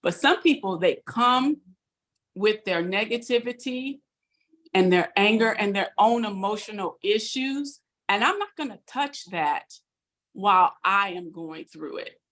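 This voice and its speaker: A woman sharing a personal testimony on a video call, earnest and halting with fillers. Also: mid-range voice, expressive, a bit bright. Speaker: guest.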